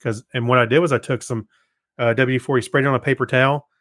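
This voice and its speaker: A man's voice: medium pitch, fairly even intonation, fast talker, fairly bright tone, no echo, studio quality. A man co-hosting a podcast in casual chat, conversational and given to joking. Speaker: podcast co-host.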